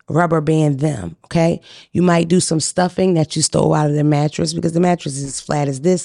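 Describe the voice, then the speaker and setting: Gravelly, brash woman storyteller. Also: low voice, warm tone, no room sound, studio quality. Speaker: comedian guest. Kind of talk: casual podcast chat.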